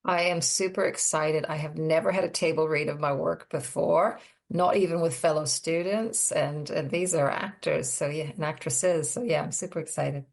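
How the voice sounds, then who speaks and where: A woman giving casual interview answers as a guest, halting as she thinks aloud. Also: low voice, steady tone, gravelly.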